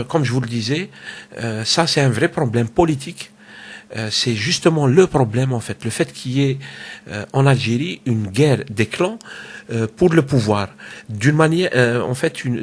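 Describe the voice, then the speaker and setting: A man's voice: medium pitch, breathy edge. A man with a cold giving a casual solo political commentary, hesitant, with many fillers and repeated words. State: has a cold. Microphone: home microphone.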